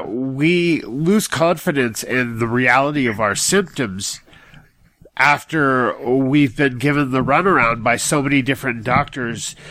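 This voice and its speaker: A man co-hosting a podcast, talking deliberately with rather formal wording. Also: medium pitch, bright, forceful tone, no echo, rough recording. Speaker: podcast co-host. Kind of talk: conversational podcast talk.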